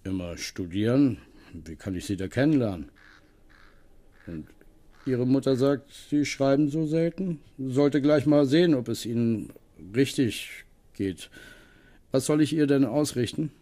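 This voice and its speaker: A man's voice: mid-range voice, a little slow, mellow, natural voice. A man playing a homely, coaxing farm tenant. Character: plain-spoken middle-aged male farm tenant, kindly, practical. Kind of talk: radio play acting.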